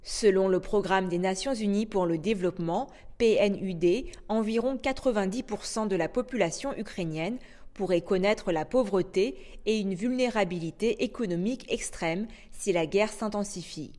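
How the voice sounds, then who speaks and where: A woman anchoring a news bulletin, reading in a steady, formal way. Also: medium pitch, normal speed. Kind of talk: scripted news narration.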